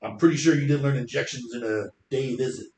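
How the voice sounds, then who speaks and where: A man with a deep voice, a hairstylist guest explaining his craft at length in a confident, talkative way. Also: quick pace, a little mellow, reverberant, a little hiss or hum. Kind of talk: casual interview chat.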